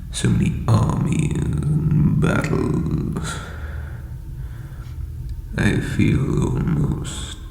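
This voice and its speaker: A man playing an ancient, insecure undead lich king in a comedic audio roleplay, with a breathy croak and hesitant, pompous phrasing. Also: medium pitch, dark tone, exaggerated voice. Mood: insecure, wistful. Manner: hesitant, self-doubting, theatrical formal phrasing. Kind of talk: scripted comedic audio roleplay addressed to listener. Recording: reverberant echoey hall, faint background noise.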